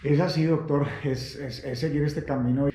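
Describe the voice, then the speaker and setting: A Latin American man hosting an interview, with a warm, relaxed voice. He speaks sincerely but haltingly, stumbling through fillers. The recording carries a roomy echo. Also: a little high. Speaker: host. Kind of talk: interview conversation.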